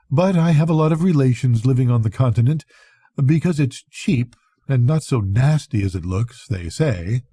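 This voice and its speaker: An older man reads a literary story in a very dark, soft-edged voice with a husky grain. He speaks like a grandfather at bedtime, conversational and expressive, letting phrases breathe. The sound is dry and close, with no room around it. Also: mid-range voice, no room sound. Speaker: grandfather reading bedtime stories. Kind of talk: expressive literary audiobook reading.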